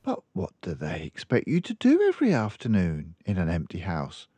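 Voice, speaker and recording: A man voicing a kindly, concerned woman librarian from a storybook, with a gentle, proper, advising manner. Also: low-pitched, wildly swooping pitch, moderate pace, husky, no room sound, exaggerated voice. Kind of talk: storybook character voice.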